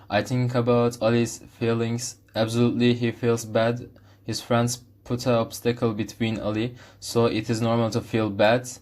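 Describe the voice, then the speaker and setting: A young male student with a Turkish accent reads out his views. His voice is a bit low. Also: darkish tone. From Turkey. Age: teens. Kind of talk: prepared opinion statement.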